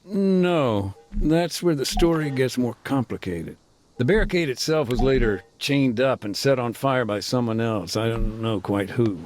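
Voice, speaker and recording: A middle-aged man voicing a frank former combat engineer turned demining worker, measured and matter-of-fact in a game cutscene. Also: medium pitch, lively intonation, a little mellow, faint music behind, close to an everyday voice. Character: weary male ex-soldier turned deminer, frank. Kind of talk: voiced game cutscene dialogue.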